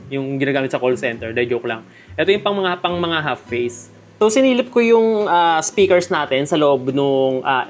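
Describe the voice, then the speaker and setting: Casual Filipino man narrating an unboxing vlog. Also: on the high side. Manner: chatty, jokey, addressing viewers directly. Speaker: product reviewer. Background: background music.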